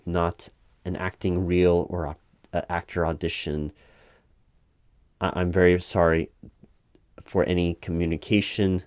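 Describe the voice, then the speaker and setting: A man playing a weary, politely insistent recruiting manager in a one-sided interview roleplay, over-explaining in a halting, repetitive way at normal speaking volume. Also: sits low, darkish tone, dry recording. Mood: apologetic, firm. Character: exasperated male recruiting manager, polite but increasingly weary. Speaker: job interviewer.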